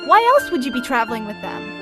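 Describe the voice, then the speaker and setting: A woman's voice: a little high, very animated, fast. A woman voicing a flirty, free-spirited and defiant female dwarf in a cartoon fandub. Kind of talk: animated comic fandub acting. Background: prominent music.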